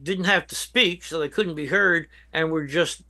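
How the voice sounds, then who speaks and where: Older American man, bright forceful voice. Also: fairly high pitch, normal speed. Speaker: guest expert, veteran emergency dispatch professional. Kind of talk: interview answers, explaining and advising.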